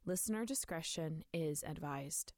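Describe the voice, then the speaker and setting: Lowish-voiced woman reading a scripted disclaimer. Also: a little slow, no echo. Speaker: announcer.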